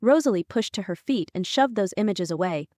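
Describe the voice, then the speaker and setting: A woman narrating a fantasy audiobook in a scripted, expressive storytelling style. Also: mid-range voice, fairly quick, no echo, clean studio sound.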